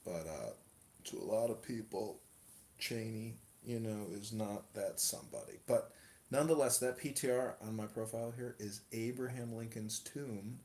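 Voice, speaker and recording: A man's voice: lowish voice, normal speed, darkish tone, echoey room. A man, a political candidate, talking casually and indignantly in a rambling way with lots of fillers. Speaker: political candidate hosting an audio room.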